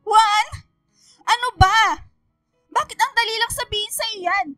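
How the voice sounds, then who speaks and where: A high, bright, emotional young mother. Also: steady tone, unhurried, dry recording, studio quality, soft background music, exaggerated voice. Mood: hurt, upset. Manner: emotional, pleading and scolding. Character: young pregnant girlfriend, later a nagging, caring mother. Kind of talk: radio drama acting.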